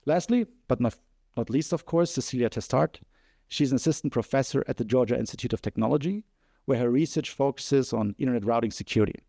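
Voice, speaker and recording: A man moderating a panel forum, reading a scripted introduction at a measured pace with occasional um fillers. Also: mid-range voice, vocal fry, dark tone, no room sound, clean studio sound. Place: conference venue.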